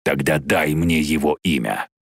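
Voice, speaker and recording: A grim war dragon from a fantasy audiobook, acted out by a man. The voice is deep, dry and growling, gloomy and used to giving orders. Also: unhurried, vocal fry, slightly rough, no echo, heavily acted. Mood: grim, commanding. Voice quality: low growling mutter. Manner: gloomy, commanding. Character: grim growling old war dragon, male machine-beast.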